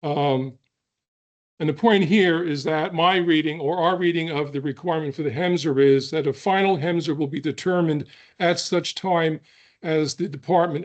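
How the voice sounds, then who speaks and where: A hoarse man who is losing his voice, giving an earnest public comment at a hearing with deliberate pauses and throat clearing. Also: on the high side, darkish tone.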